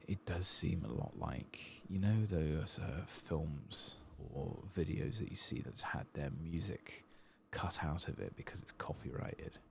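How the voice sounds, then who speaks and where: Very deep, soft-spoken gravelly man. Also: unhurried, few pauses, a little hiss or hum. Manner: hushed, sometimes dropping into whispers. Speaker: ASMR gaming video host. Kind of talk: soft-spoken ASMR game playthrough with casual rambling.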